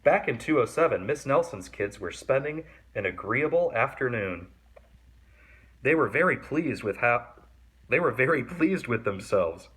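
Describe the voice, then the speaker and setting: Guy doing a kids read-aloud, slow and deliberate, really lively pitch. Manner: animated, deliberate. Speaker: storybook reader. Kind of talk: expressive children's read-aloud narration.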